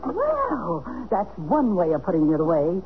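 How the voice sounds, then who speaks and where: A woman's voice: lowish voice, huge pitch swings, unhurried. An actress playing an American woman in a 1970s radio drama. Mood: exasperated, scolding. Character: prim secretary or blunt, nagging female friend. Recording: tinny, noisy old radio recording.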